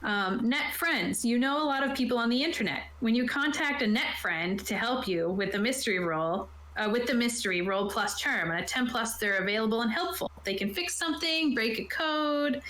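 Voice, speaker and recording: A woman's voice: fairly high pitch, animated, moderate pace, sharp tone. A woman casually explaining game rules on a tabletop stream, reading aloud and then putting it in informal plain terms. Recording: roomy, echoey sound.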